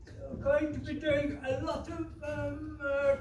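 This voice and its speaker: A woman's voice: sits high, flat delivery, takes it slowly, dull audio. A woman playing a blunt, wry, wisecracking sidekick in a stage pantomime. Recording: very noisy echoey stage, far off.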